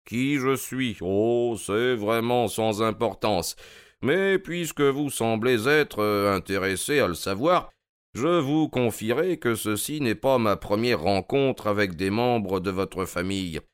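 Un homme qui joue, pour un livre audio, un détective anglais d'âge mûr, suave, courtois et moqueur. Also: male voice, on the low side, expressive, smooth, dry recording, studio quality, pitched above the performer's own voice. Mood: playfully smug. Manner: amused, courteous, drawn-out mocking delivery. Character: suave, wry older English detective, gloating politely.

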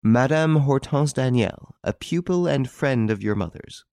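A man voicing an assured, wry gentleman investigator in an audiobook story, speaking theatrically and without hesitation. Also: medium pitch, lively intonation, measured pace, gravelly, dry recording, clean studio sound, voice pushed up.

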